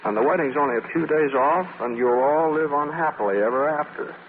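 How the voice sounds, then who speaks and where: An actor in a 1940s radio play, playing a wry, charming magazine writer with a hesitant, drawling way of talking. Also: male voice, a little high, fairly quick, lightly acted. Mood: wry, scheming. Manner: hesitant, drawling, repeating himself. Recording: muffled, crackly 1940s radio recording.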